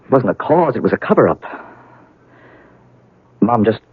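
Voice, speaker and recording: An actor playing a grieving, wry and bitter heir to a wealthy house in a 1970s radio drama, speaking haltingly. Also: male voice, lowish voice, big pitch swings, breathy edge, no room sound, heavily acted. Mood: bitter, frank. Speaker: actor in a radio mystery play. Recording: narrowband old radio broadcast, dry.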